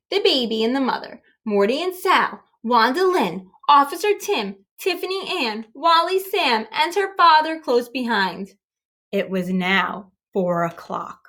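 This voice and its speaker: A woman's voice: on the high side, fairly bright tone. A woman reading a children's story aloud expressively and emphatically, as if to young listeners. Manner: emphatic, acts out dialogue, pauses to address young listeners.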